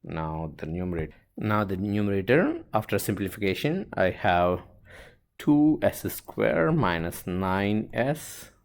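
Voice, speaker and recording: A man teaching a step-by-step worked example as an instructor, halting and thinking aloud as he writes. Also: lowish voice, lively intonation. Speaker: engineering instructor.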